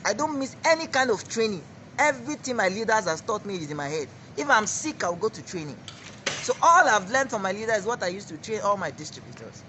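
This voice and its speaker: A man's voice: sits high, pushed and bright, slight background noise. A Nigerian man in his twenties with a Nigerian accent, giving an emphatic, preachy testimony as a network marketing director in an interview. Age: 20s.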